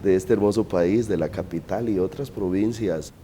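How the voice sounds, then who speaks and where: A man's voice: low-pitched, normal speed, dark tone, a little hiss or hum. A Costa Rican man, a lawyer, telling his family story in an interview with hesitant fillers and repeated words. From Costa Rica. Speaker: guest, lawyer.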